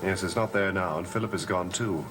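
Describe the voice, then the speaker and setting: An actor playing a grave, insistent husband in a gothic television soap, with a solemn, pressing delivery. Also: male voice, low voice, fairly quick, over music, close to an everyday voice. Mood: concerned. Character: grave, insistent husband probing a supernatural mystery.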